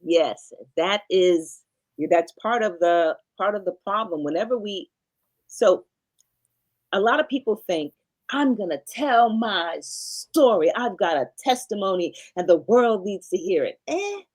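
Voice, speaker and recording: A woman's voice: medium pitch, animated, unhurried, a little mellow. A middle-aged woman speaking as a coach and guest in a podcast interview. She explains in an emphatic, teacherly way and drives her points home through repetition.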